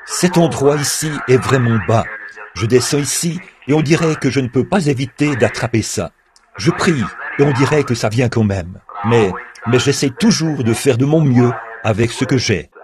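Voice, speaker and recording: A man's voice: mid-range voice, expressive, a little slow, background noise. Man reading a translated sermon. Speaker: French reader of a translated sermon.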